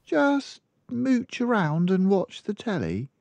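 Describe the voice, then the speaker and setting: A man's voice: high-pitched, huge pitch swings, takes it slowly, vocal fry, darkish tone, dry recording, voice pushed up, exaggerated voice. A man voicing an earnest, polite, bookish little girl from a storybook in a child-like manner. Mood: plain, resigned. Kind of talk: storybook character voice.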